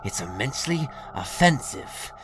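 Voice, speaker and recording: An actor playing a sly, mocking alien raider lord in an audio drama, talking with mock politeness and theatrical menace. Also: male voice, mid-range voice, sing-song, measured pace, background noise. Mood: mock hurt. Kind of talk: scripted audio drama acting.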